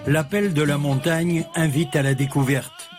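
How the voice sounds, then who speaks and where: Higher-pitched male news reporter. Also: a little slow. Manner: steady, formal narration. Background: faint music.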